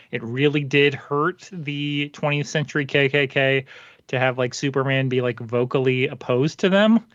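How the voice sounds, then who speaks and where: A man's voice: mid-range voice, a bit bright, dry recording. A man co-hosting a comics podcast, chatting casually with dry, sarcastic humor. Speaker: podcast co-host. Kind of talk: casual chat. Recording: dry, dull lo-fi sound.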